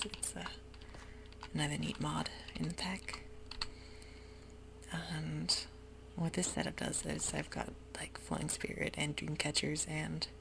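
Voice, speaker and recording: A woman whispering softly through a relaxed, rambling ASMR game commentary. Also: low-pitched, gravelly, noisy background. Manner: soft whisper, rambling and easygoing. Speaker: YouTuber playing a game. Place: home room with sprinklers outside.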